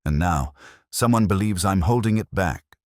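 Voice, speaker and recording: A man's voice: low voice, lively intonation, normal speed, a little mellow, no room sound, clean studio sound, voice pushed up. A man voicing a tense, guarded society widow, a frightened woman, in an audiobook. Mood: urgent, guarded. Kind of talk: audiobook character dialogue.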